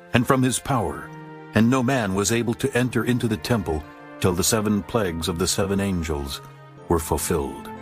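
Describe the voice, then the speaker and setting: A man narrating a dramatized scripture audiobook in a solemn, formal manner. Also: fairly low pitch.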